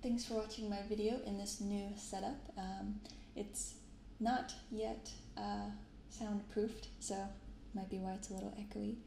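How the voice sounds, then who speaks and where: A young adult woman chatting casually in a soft-spoken ASMR style, unhurried and a little hesitant. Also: mid-range voice, level tone, gravelly. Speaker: ASMR card-opening vlogger. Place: small echoey room indoors, not soundproofed. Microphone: binaural microphone, close. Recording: echoey untreated room with rain noise. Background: rain, room ambience.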